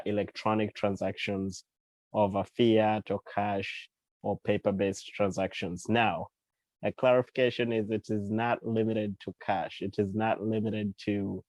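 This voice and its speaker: A man from Uganda talks in an unhurried interview, pausing with fillers and restarting his sentences. His voice sits on the low side. The recording is dry and close, with no room echo. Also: darkish tone. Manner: thoughtful, with frequent fillers and restarts. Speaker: guest author and CEO. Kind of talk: casual interview talk.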